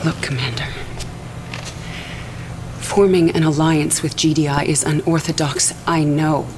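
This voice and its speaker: A woman's voice: low-pitched, fairly even intonation, a little slow, noisy background. An actress playing a determined, earnest female military leader in a video game cutscene, speaking in an urgent, confiding way. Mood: urgent, resolute. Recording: music behind the voice.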